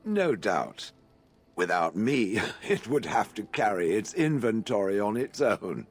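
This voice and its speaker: Game casting: a towering stone golem, very low and husky, snide, vain and condescending. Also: male voice, big pitch swings, measured pace, full character voice. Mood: sardonic, haughty. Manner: dry, condescending, calls listener "it". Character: huge sardonic stone golem, haughty and vain. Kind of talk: video game voice acting.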